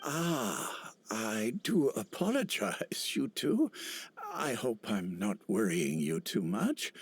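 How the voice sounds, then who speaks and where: A man's voice: on the low side, gravelly, husky, dry recording, exaggerated voice. An actor playing a polite, forgetful elderly village grandfather in a video game, hesitant and courteous. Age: older.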